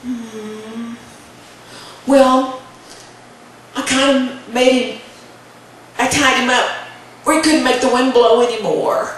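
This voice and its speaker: A woman's voice: a little high, steady tone, slow pace, pauses often. A woman voicing a mischievous little boy in a folktale, whiny and wheedling in a sing-song, exaggerated way. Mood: sheepish. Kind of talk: oral folktale storytelling. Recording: echoey room, distant mic, faint noise.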